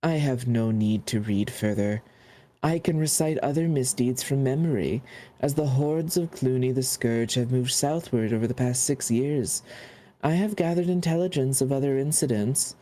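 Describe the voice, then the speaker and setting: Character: a man putting on an ancient male mouse keeper of books, wise, fussy and absent-minded. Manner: doddering, humming and muttering. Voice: mellow and soft. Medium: read-aloud storybook. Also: medium pitch, normal speed, close to an everyday voice. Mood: stern.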